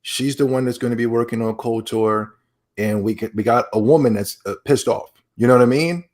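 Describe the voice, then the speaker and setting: A man hosting a podcast in casual chat, rambling and excitable as he reacts to videos and reads donations. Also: mid-range voice, fairly quick. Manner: rambling, loose and excitable, with many repeats. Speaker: podcast host.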